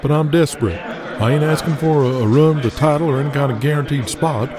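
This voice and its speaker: An actor playing a desperate, groveling washed-up pro wrestler in an audio drama, pleading and hesitant. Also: male voice, fairly high pitch, quick pace, crowd noise, natural voice. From Georgia, USA (the figure). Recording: music playing behind the voice.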